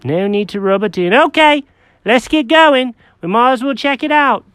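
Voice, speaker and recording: A storyteller voicing a fussy, naive male cat who talks in a high squeak, with an excitable, bewildered manner. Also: normal speed, clear, resonant tone, no echo, studio quality, natural voice.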